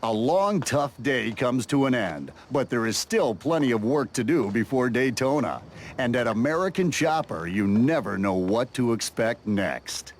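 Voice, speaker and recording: An actor narrating a motorcycle reality show game in a dramatic, scripted style. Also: male voice, mid-range voice, expressive, a little slow, a little hiss or hum.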